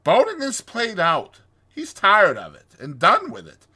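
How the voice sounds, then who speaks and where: A good-natured storybook water rat, as a man puts him on, hearty and chummy. The voice sits high above a normal talking pitch and is bright, with big swoops. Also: wildly swooping pitch, a little slow, slightly rough, heavily acted. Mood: chatty, knowing. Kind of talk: dramatized storybook reading.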